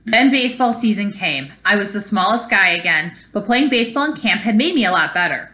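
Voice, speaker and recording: A woman's voice: mid-range voice, animated, brisk, sharp tone. A woman teacher reading a children's story aloud expressively and explaining it to young pupils. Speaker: elementary school teacher.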